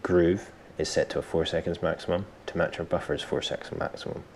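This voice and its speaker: A man presenting a software tutorial step by step, informally thinking aloud as he explains. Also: sits low, steady tone, a little fast, slight background noise. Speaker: tutorial host.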